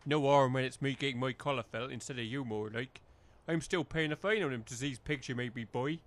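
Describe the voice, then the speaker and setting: A man's voice: mid-range voice, a little fast, no echo, natural voice. A man playing a weary, wary village working man who grumbles in rustic dialect, in a comedy audio drama. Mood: grumbling.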